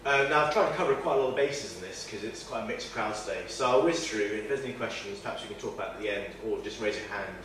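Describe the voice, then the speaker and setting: A man's voice: on the low side, fast, few pauses, a bit bright, recorded from a distance. A man giving an informal talk to a meetup audience, chatty and self-deprecating, with many fillers and restarts. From not from northern England. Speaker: presenter at a meetup. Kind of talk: informal presentation. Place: event room with audience. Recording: very echoey room, faint noise.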